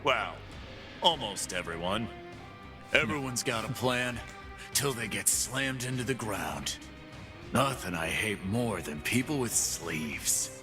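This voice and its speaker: A cocky half-beast pit boss. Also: male voice, on the low side, expressive, slow. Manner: swaggering, boastful, tough-guy taunts. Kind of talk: game character voice lines. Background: game music.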